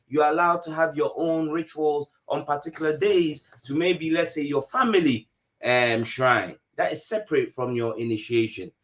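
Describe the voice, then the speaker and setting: Co-host, a man from Ghana, mid-pitched, soft-spoken, pausing often to find his words. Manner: soft-spoken, halting with many fillers. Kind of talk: live Q&A answering viewers.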